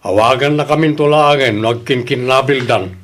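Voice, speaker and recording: A man's voice: mid-range voice, normal speed, very noisy, close to an everyday voice. A man acts out an indifferent village captain from an audio drama. He answers in a grudging, dismissive way, as an official who is reluctant to lift a finger. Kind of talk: Ilocano audio drama dialogue.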